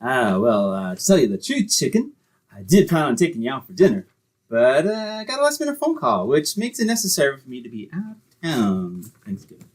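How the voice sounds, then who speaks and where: A man's voice: mid-range voice, huge pitch swings, brisk. A man playing a breezy, cheap, know-it-all boyfriend, glib and self-important, in old-time radio comedy style. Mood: evasive.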